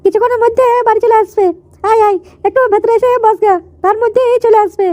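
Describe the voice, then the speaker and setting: A woman's voice: very high voice, fairly even intonation, fast talker, warm tone, dry recording. A woman voicing a friendly, obliging young girl in a cartoon comedy, with a sweet, chatty manner. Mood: welcoming, chatty.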